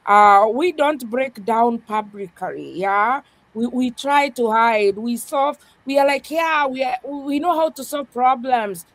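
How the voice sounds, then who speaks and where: A woman's voice: on the high side, takes it slowly, no room sound. A woman pastor speaking earnestly in a conversation, with a strong voice, who often adds "yeah" as she talks. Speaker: pastor, guest. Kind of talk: podcast conversation, reflective.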